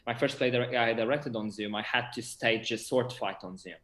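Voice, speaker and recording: A man's voice: mid-range voice, moderate pace, a bit bright. Young Portuguese man in an echoey room. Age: 20s. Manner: enthusiastic. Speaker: theatre director and actor. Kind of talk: casual interview chat. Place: indoor room, on a video call. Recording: roomy, echoing video call audio.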